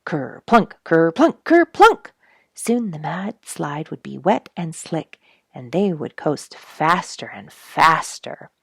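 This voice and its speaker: Animated low-voiced woman reading a children's story. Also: slow, gravelly, breathy edge, dry recording, studio quality. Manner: expressive, emphatic on sound words. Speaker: storybook reader and host. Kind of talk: children's storybook read-aloud.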